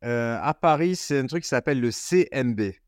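Chatty French podcast host, dry sound. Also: male voice, medium pitch, expressive, moderate pace, no echo. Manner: chatty, informal, many fillers. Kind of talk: casual podcast chat.

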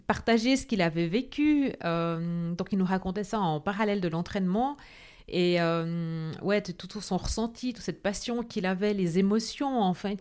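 A woman's voice: mid-range voice, darkish tone. A woman hosting a podcast, reading a scripted intro and then speaking hesitantly with many fillers. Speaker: podcast host.

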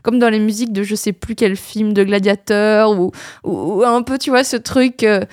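A woman's voice: medium pitch, fairly even intonation, normal speed, a little mellow, dry recording, studio quality. A woman with a Belgian French accent, casually reviewing films as a podcast co-host, hesitant with many fillers and restarts. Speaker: film critic co-host. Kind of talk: casual film review chat.

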